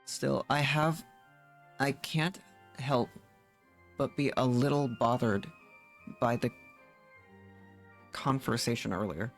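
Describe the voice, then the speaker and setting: Male streamer, casual and halting as he reads game lines aloud, soft music underneath. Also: mid-range voice. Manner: halting, repeats words while reading. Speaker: streamer playing and reading along.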